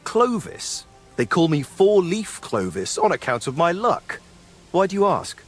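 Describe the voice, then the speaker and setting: An actor playing a cocky, brash medieval rogue in a video game. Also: male voice, fairly high pitch, very animated, a little slow, breathy edge, faint music behind, exaggerated voice. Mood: guarded. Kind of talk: game cutscene dialogue.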